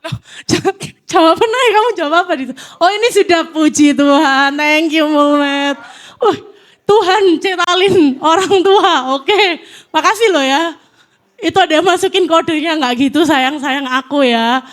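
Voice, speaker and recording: A woman's voice: sits high, normal speed. Chatty nervous young woman preacher. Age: 20s. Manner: chatty, self-deprecating, nervous. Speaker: youth church speaker. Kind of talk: casual youth sermon with audience interaction. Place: church hall, live audience.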